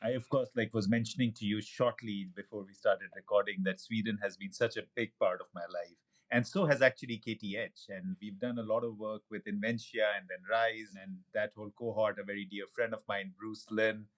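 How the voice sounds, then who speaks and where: A man's voice: fairly low pitch, fairly even intonation, brisk, poor audio. A man hosting a podcast interview, effusive and eager as he welcomes his guest and asks questions. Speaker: podcast host. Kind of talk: conversational interview.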